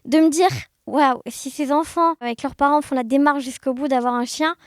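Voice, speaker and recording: A woman podcast guest giving casual, personal testimony in an enthusiastic, expressive way. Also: sits high, moderate pace, no echo, studio quality.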